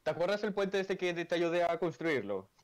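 A man's voice: sits high, very animated, moderate pace. A young man with a Galician accent, grumbling and swearing casually among friends in game chat. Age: young adult. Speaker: gamer in a streamed voice chat. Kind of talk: casual banter while gaming.

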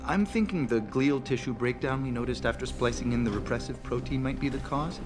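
A wry male lab researcher from a video game, played by a man, sounds analytical and everyday. His pitch is medium, and music plays underneath. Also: brisk, lightly acted. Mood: wry, thoughtful. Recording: game audio with music behind.

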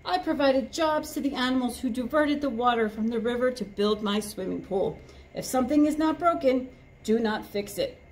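A woman's voice: a little high, normal speed, a little hiss or hum, voice pushed up, lightly acted, low-quality recording. A woman voicing a proud male lion king from a picture book, giving a grand, self-important speech. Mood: pompous.

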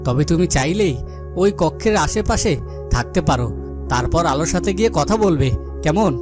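A man acts out a storybook king, caring and quietly in command. His fairly high voice is measured and coaxing in cartoon style, with music clearly underneath. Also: lively intonation, natural voice. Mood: concerned, coaxing. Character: concerned, gently commanding fairy-tale king. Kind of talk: cartoon fairy-tale acting.

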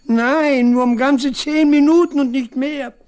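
An actor playing a proud, insistent old farm veteran in a German radio play. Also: male voice, very high-pitched, fairly even intonation, unhurried, a little mellow. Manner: insistent, pestering, boastful. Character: stubborn, proud old village man, collective-farm veteran.

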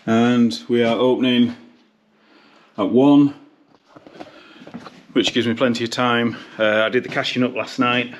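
A man's voice: medium pitch, steady tone, measured pace, pauses often. British guy doing a casual vlog walkthrough of his pub, matter of fact, bit of background noise. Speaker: pub landlord, vlogger. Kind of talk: casual behind-the-scenes vlog narration. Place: inside a pub and its cellar.

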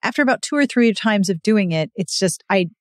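A woman's voice: mid-range voice, animated, a little fast, no room sound, clean studio sound. A woman hosting a podcast, chatting casually with a guest as she interviews. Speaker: podcast host.

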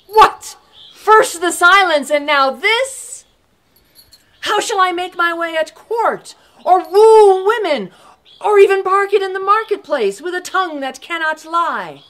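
A woman's voice: high voice, sing-song, takes it slowly, long pauses, a bit bright, voice pushed up, full character voice. A woman voicing a weary young male harper in a told folk tale. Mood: indignant.

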